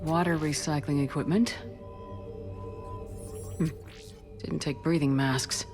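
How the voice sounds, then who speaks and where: A woman playing a resolute military space commander in a video game, brisk, businesslike and commanding. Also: low-pitched, steady tone, over music. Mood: focused, businesslike.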